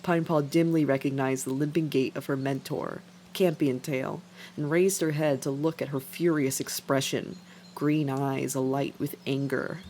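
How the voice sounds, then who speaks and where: A woman's voice: rumbling low, steady tone, normal speed, warm tone, slight background noise. A woman reading scripted fiction as a dramatic narrator. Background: music.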